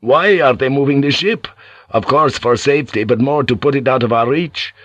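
A man's voice: mid-range voice, normal speed, a bit bright, no room sound, muffled recording, pitched above the performer's own voice, close to an everyday voice. A man doing a fretful, fervent priest. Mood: anxious. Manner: raised, urgent and fretful. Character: anxious, fervent male Jesuit priest.

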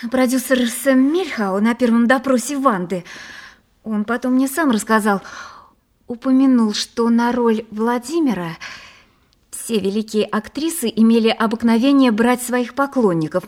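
An actress plays an anxious, insistent young woman in a radio play. Her voice sits on the high side and is emotional and pointed, with theatrical urgency. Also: a little mellow, close to an everyday voice. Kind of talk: Russian radio play acting.

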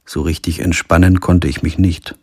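An actor playing a wry, pragmatic lawyer in a radio crime drama, narrating and speaking in a dry, understated way. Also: male voice, low voice, level tone, normal speed, a little mellow, husky, no room sound. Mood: wry, recounting. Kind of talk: scripted first-person narration and radio drama dialogue.

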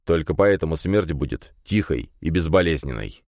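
A man's voice: a bass voice, lively intonation, normal speed, darkish tone, dry recording, studio quality. A man voicing a stern middle-aged head of a noble clan in an audiobook, curt and coldly threatening. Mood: cold menace.

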